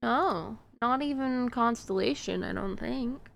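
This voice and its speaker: A woman's voice: fairly high pitch, slow pace, creaky, fairly bright tone. A woman streamer chatting casually while she plays, answering her chat with plenty of fillers and little laughs.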